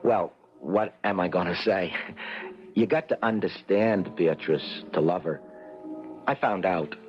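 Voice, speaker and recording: Meek, low-voiced, henpecked clerk. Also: male voice, unhurried. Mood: mild, hesitant. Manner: hesitant, stammering, wry. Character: meek, henpecked, nervous male office clerk. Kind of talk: old-time radio comedy acting with narration. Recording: dull old radio recording with music.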